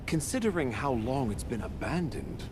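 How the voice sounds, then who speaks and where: A man voicing a self-assured, analytical engineer in a video game, explaining in measured phrases as if thinking aloud. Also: mid-range voice, sing-song, noisy background, lightly acted. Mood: matter-of-fact. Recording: loud game music behind.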